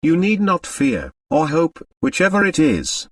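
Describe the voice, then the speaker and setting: Man voicing a cool, unemotional Russian woman, pitched up. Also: huge pitch swings, dry recording, far from the mic, full character voice. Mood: cool, assured. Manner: measured, composed, matter-of-fact.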